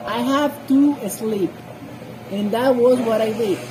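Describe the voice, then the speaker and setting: A woman who is not a native English speaker, giving informal Bible commentary with personal stories in a halting way, searching for words. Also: a little high, over music, rough recording. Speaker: scripture reader and commentator.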